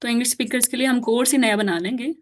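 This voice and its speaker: A woman's voice: fairly high pitch, very fast. A woman whose first language is Urdu, teaching an informal online class in a chatty way, laughing often and mixing in English. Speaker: course teacher.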